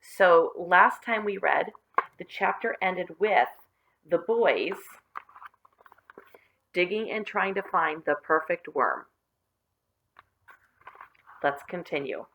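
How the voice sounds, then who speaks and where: A woman storyteller reading a children's book aloud expressively, with deliberate phrasing and stressed key words. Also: on the low side, animated, muffled recording, a little hiss or hum.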